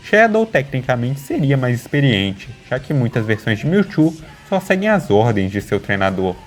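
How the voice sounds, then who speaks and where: A man's voice: mid-range voice, very animated, moderate pace. A man with a Brazilian accent delivering scripted narration as the narrator of an analysis video. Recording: music behind the voice. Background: background music.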